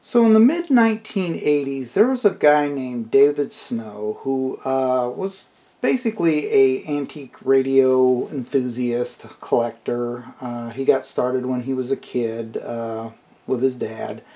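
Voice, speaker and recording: A man's voice: medium pitch, a little slow, mellow. A middle-aged man giving an informal, unscripted explanatory review as a hobbyist, in a plain-spoken, conversational way.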